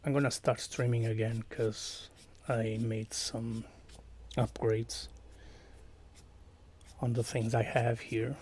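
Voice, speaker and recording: Soft-spoken Portuguese-accented man, flat and slow. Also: mid-range voice, a little hiss or hum. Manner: soft and hesitant, drops to whispers, many fillers. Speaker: streamer. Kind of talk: quiet casual chat with viewers.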